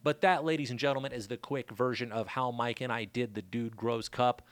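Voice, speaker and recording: Laid-back man with a raspy throat. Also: medium pitch, steady tone, brisk, dry recording. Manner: laid-back, loose, chatty with shout-outs. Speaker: podcast host. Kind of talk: casual rambling monologue. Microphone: studio mic.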